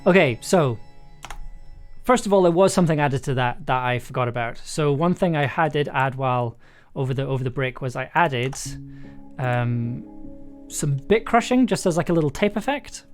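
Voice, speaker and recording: A man with a raspy, throaty voice, talking casually and rambling through a livestream while producing an audio drama. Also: mid-range voice, animated, normal speed. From United Kingdom. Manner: rambling, many restarts and fillers. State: raspy, throaty voice after illness. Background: music.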